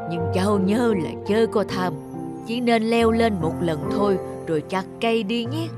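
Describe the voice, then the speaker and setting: A performer voicing a wise, mysterious old man in a children's cartoon, measured and persuasive. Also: mid-range voice, loud music behind the voice, close to an everyday voice. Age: older.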